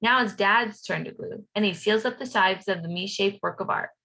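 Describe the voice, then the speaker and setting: A woman reading a children's storybook aloud as a playful young schoolboy narrator, expressive and rhythmic. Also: mid-range voice, normal speed, poor audio. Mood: warm storytelling.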